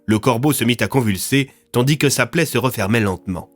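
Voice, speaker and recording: A man's voice: medium pitch, fairly quick, soft background music. A man narrating a scripted audio fiction serial as a dramatic storyteller.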